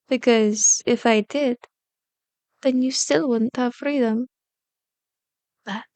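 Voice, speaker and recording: A woman's voice: fairly high pitch, a little mellow, dry recording. A woman playing a powerful, manipulative female vampire in an ASMR roleplay, seductive and condescending, slipping into whispers as she commands and coaxes. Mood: soothing, coaxing. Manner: slow, commanding, mock-sweet taunting with whispered words.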